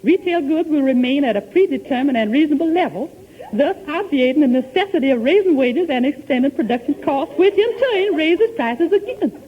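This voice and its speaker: A good-humoured housemaid in a 1940s radio comedy, folksy and mock-learned. The voice is very high and swooping, on a muffled old recording. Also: female voice, animated, brisk.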